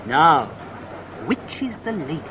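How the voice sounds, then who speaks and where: A man's voice: fairly high pitch, big pitch swings, slow pace, noisy background. Oily, swooping sham clergyman. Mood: sly, chuckling. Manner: pompous, chuckling, ending remarks with "what?". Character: scheming sham clergyman, effusive, oily and falsely jovial. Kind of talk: dramatised audiobook acting.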